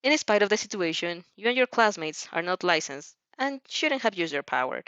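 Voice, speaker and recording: A woman voicing a stern, formal male dog-like police chief in an audio story, with measured speech. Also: mid-range voice, very animated, fairly bright tone, no echo, dull audio.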